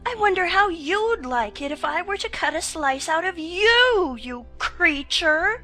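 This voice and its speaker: Indignant, very high talking pudding. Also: female voice, animated, sharp tone, soft background music, heavily acted. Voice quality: thick, suety. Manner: drawn-out, affronted. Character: indignant talking plum pudding, pompous and offended. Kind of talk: dramatized children's audiobook acting.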